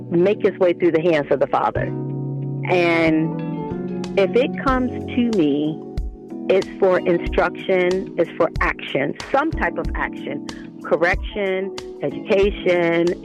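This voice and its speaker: A woman's voice: on the low side, dull audio. A woman giving reflective interview answers as a guest author, speaking in an earnest, emphatic and devout way.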